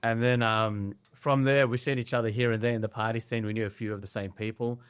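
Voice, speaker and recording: A man's voice: mid-range voice, fairly even intonation, fairly quick, clear, resonant tone, no echo. A man in his early forties from Nepal who moved to Australia, telling his own story casually as a podcast guest. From Nepal, later Australia.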